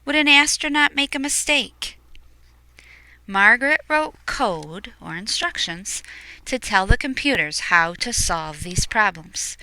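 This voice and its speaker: Bright, clear woman reading a storybook aloud. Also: a little high, a little slow, no echo, rough recording. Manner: expressive, with hushed asides to young listeners. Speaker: picture book reader. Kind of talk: storybook read-aloud with explanatory asides.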